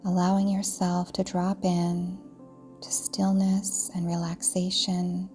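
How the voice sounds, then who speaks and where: A woman yoga teacher narrating a guided meditation in a soft, breathy, soothing way, deliberately, with pauses. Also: fairly low pitch, monotone, soft background music. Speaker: yoga nidra teacher guiding a practice.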